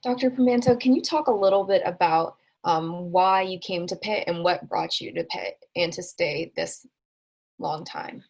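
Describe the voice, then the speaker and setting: Creaky, husky woman moderating questions. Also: lowish voice, moderate pace, a little mellow. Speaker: webinar moderator reading questions. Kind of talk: reading out prepared questions.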